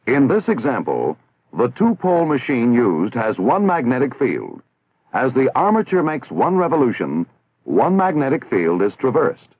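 A man with an American accent narrating a 1960s military training film in a formal, measured, instructional way, on an old film soundtrack. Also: medium pitch, huge pitch swings, husky. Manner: formal, measured, didactic enunciation. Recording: tinny, band-limited 1960s film soundtrack.

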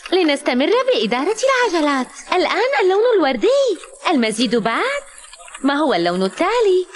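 A woman's voice: very high voice, slight background noise. A woman narrating a children's learning cartoon, exclaiming and asking questions as she talks to the character and young viewers.

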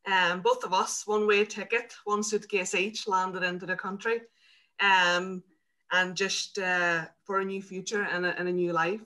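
A woman's voice: mid-range voice, level tone, normal speed, bright, forceful tone. A woman in her thirties from Slovakia, a native Hungarian speaker, introducing herself in a friendly way from her living room on a video call. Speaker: panelist. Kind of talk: panel self-introduction. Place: home living room, indoors.

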